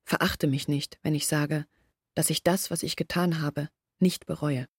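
An actress playing an earnest village woman who explains and confesses in a measured literary radio drama monologue. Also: low voice, steady tone, slightly rough, no room sound, studio quality, heavily acted. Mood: candid confessing.

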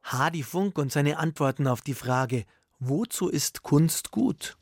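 A man's voice: on the high side, lively intonation, unhurried, heavy background noise. A man presenting a scripted radio magazine as its host.

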